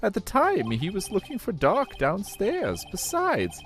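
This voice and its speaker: Elderly male judge, video game courtroom, flustered and slow-witted, high voice, faint music behind. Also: wildly swooping pitch, fairly bright tone, exaggerated voice. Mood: befuddled. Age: older. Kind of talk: video game voice-over read aloud.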